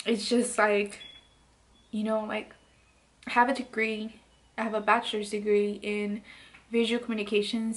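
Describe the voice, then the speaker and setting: Soft-spoken woman, flat and hesitant. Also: mid-range voice. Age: late 20s. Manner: soft, hesitant, many fillers. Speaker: beauty vlogger. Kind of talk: candid personal vlog. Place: bedroom, indoors.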